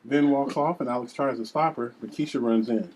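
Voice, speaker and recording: A woman's voice: a bass voice, a little fast, a little mellow, a little hiss or hum, low-quality recording. A woman reading scripted scene narration and stage directions at a table read.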